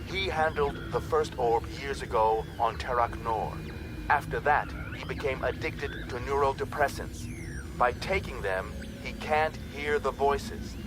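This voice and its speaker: A man's voice: mid-range voice, measured pace, noisy background, voice pushed up, natural voice. A man voicing a harsh, commanding female alien scientist in an audiobook, clipped and explanatory. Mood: commanding, urgent. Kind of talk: audiobook character acting.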